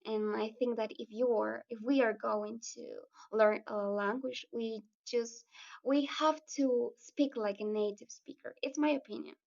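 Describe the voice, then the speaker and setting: A woman's voice: on the high side, fairly even intonation, measured pace, vocal fry, a little mellow. Earnest woman on distant call line. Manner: earnest, talks at length with restarts. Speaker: student and children's language tutor. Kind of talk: casual video-call chat. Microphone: video call. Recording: distant muffled video-call audio.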